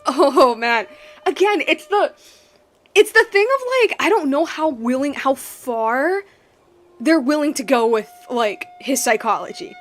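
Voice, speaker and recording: A woman streaming a video game with casual, slangy, excitable commentary. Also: high voice, unhurried. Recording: music behind the voice. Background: game music.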